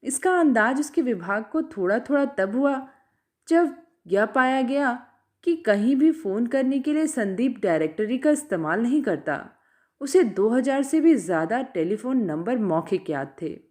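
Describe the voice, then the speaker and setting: High-pitched woman narrating, lively intonation. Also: unhurried. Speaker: storyteller reading literary fiction aloud. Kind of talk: scripted audiobook-style story narration.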